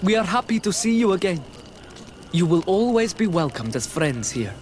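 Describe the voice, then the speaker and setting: A man's voice: high-pitched, big pitch swings, normal speed, darkish tone. An actor playing a wary but friendly young native tribesman in a fantasy video game, speaking solemnly and formally. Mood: welcoming. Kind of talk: fantasy game dialogue acting. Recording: noticeable outdoor background noise.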